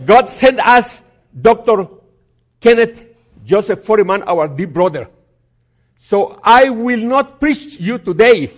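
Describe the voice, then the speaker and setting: A man's voice: sits high, animated, slow pace, voice pushed up. A man doing a glad, eager pastor. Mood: delighted. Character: grown male Korean pastor, glad and welcoming.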